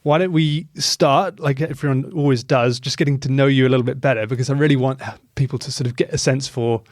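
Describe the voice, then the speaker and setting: A man's voice: mid-range voice, steady tone, brisk, no echo, studio quality. A middle-aged man hosting an interview podcast, talking in a friendly, loose way with many fillers. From England. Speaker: podcast host, mortgage broker.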